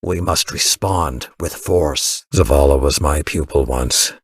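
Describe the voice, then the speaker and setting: A stern old war veteran from a video game. His voice is extremely deep and very hoarse, recorded dry. He speaks gravely, with embittered reproach and force, as a mentor scolding. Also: slow pace, no room sound, full character voice. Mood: suspicious, urgent. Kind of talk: dramatic video game voice acting.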